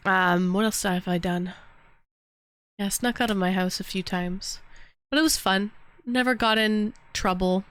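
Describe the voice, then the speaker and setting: A woman's voice: mid-range voice, fairly even intonation, measured pace, fairly bright tone, smooth, no room sound, clean studio sound. A woman from Canada in her thirties, hosting a casual voice-chat stream and rambling about old cartoons. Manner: rambling, humming cartoon theme songs. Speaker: streamer taking call-ins.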